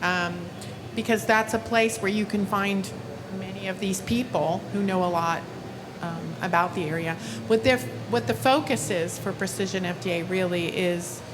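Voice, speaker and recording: A woman on a conference panel giving a measured, thoughtful answer. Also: medium pitch, music in the background. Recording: noisy conference hall.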